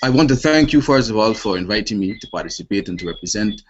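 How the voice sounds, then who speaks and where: Dull, noisy online call, Guyanese man, late twenties, mid-pitched, formal panel speech, deliberate rhetorical emphasis. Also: expressive. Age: late 20s. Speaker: youth organisation panelist. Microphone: online video call. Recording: dull lo-fi web call, clear noise.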